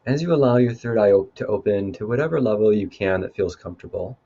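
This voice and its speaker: A man leading a guided meditation in a calm, unhurried, instructive way. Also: on the low side, lo-fi sound. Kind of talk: guided meditation, spoken softly to listeners.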